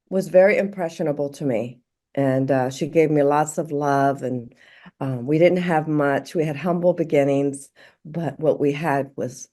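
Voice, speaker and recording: A woman from Morocco, a podcast guest, speaking warmly and reflectively, halting often with fillers and restarts. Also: low-pitched. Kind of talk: casual interview conversation.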